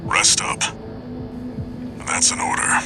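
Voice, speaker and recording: An actor playing a gruff, curt, commanding mercenary handler in a video game, terse and matter-of-fact with a breathy voice. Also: male voice, takes it slowly, whispered, lightly acted. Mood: brisk, commanding. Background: game music.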